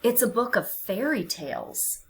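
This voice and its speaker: Slow-paced woman reading a children's storybook. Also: fairly high pitch, lively intonation, a little hiss or hum, rough recording. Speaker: children's read-aloud narrator. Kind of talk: expressive storybook narration for young children.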